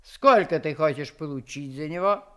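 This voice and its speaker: Regal, animated queen. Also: low voice, moderate pace. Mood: gracious, commanding. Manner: regal, theatrical. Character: gracious, imperious giant queen, easily delighted. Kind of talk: children's radio play acting.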